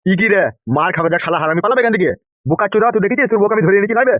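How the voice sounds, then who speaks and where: Man playing a high-voiced bullying cartoon politician. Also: racing, no room sound, lightly acted. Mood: threatening. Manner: threatening, swaggering. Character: bullying, boastful corrupt male politician. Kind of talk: comic cartoon dialogue. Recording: dull lo-fi sound.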